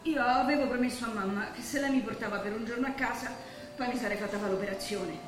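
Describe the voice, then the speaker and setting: A young actress with a Roman accent playing a gravely ill young woman, tender, fearful and despairing, in a stage drama. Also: fairly high pitch, fast talker, distant mic, natural voice. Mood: fearful pleading. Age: young adult. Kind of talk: naturalistic stage drama acting. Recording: very echoey theatre hall, faint noise.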